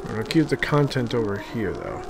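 A man giving casual, halting commentary as he thinks aloud over a screen recording, often trailing off. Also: medium pitch, very animated, gravelly, noisy background. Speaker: host of an art-making video series.

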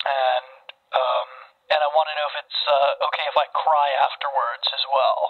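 A man's voice: medium pitch, level tone. Man playing a meek caller on muffled phone. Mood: earnest, awkward. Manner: halting, earnest, awkward. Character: meek, hesitant, naive male radio caller. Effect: telephone filter. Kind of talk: deadpan comedic audio drama. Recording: muffled phone-line sound.

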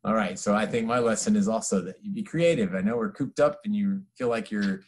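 A man's voice: lowish voice, brisk, slight background noise. A middle-aged man speaks as the host of a children's story show. His talk is casual and addressed straight to the viewers, recorded indoors on a webcam a short way off.

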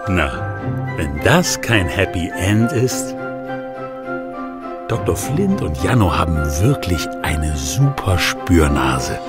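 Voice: deep voice, sing-song, slow pace. A playful narrator wrapping up a children's radio play and reading the credits. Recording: loud music behind.

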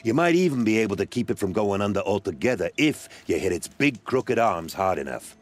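Deep-voiced, sardonic mercenary. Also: male voice, very animated, a little fast. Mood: dry, resigned. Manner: sardonic, grumbling, colorful complaints. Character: bitter, wry middle-aged male mercenary scout. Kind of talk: video game cutscene dialogue.

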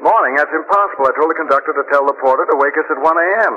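An actor playing an easygoing, urbane gentleman with dry humor in 1940s radio style. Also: fairly high pitch, rapid-fire, natural voice. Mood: puzzled. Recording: noisy, dull old radio recording.

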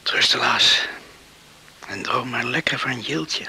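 A man playing a teasing young brother at bedtime in a radio play, speaking in a hushed voice. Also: medium pitch, takes it slowly, vocal fry, slightly rough, full character voice, rough recording. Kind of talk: Dutch radio play acting.

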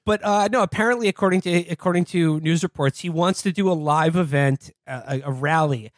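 Sardonic American man with a higher voice. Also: fairly quick, no room sound. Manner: sardonic, profane. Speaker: podcast co-host. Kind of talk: casual political comedy chat.

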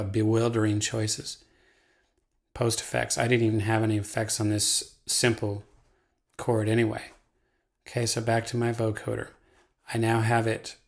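A man giving a casual software tutorial as the trainer, talking in a folksy, playful way. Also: mid-range voice, gravelly.

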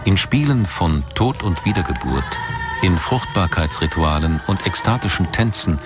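Male documentary narrator: low, lively intonation, measured and articulate, music underneath.

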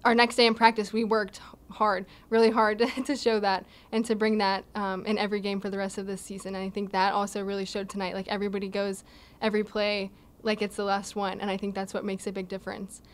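A young college athlete speaks into a table microphone at an indoor press backdrop. Her pitch stays level and even as she answers in an earnest, steady way, with the odd light laugh. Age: young adult. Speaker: college volleyball player. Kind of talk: postgame interview answers. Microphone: table mic in front of her.

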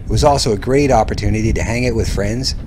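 A man's voice: lowish voice, fast talker. A middle-aged man reading scripted travel narration as the host of a vlog. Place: voice-over with music behind.

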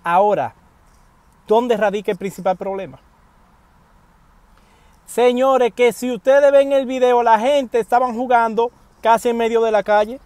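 A man delivers casual news commentary from the driver's seat of a parked car, his voice pitched fairly high. He talks animatedly and appeals straight to his viewers. The sound is dry and close, picked up by a wired earphone mic. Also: a little slow, long pauses, no echo. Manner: animated, addresses viewers directly, emphatic pleas.

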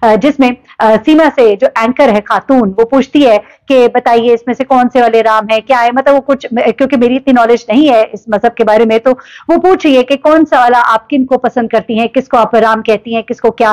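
A woman, commenting to camera in a vlog with animated, ironic storytelling. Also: fairly high pitch, normal speed, few pauses. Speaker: vlogger. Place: living room indoors.

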